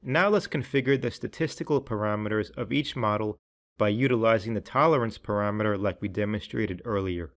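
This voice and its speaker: A man reading scripted tutorial narration in a measured, instructional way, with each phrase clearly enunciated. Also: lowish voice, fairly bright tone.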